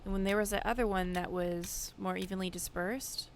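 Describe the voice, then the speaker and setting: A woman's voice: mid-range voice, fairly even intonation, moderate pace, background noise. A woman hosting a gaming video, giving casual, chatty play commentary as she thinks aloud.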